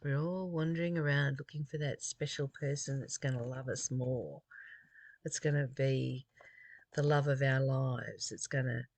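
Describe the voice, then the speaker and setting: Speaker from Australia, slow delivery, earnest, deliberate, reading aloud with reflective asides, dry sound. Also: mid-range voice, steady tone, vocal fry, a little mellow, no room sound. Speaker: host reading and commenting on a spiritual text.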